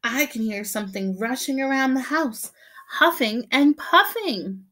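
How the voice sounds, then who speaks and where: A squeaky, anxious little boy mouse from a storybook, calling out in a high, urgent voice, as a woman reading aloud puts him on. He sounds small and frightened and pleads every few words, pitched well above a grown-up's voice and pushed out loud. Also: animated, unhurried, exaggerated voice.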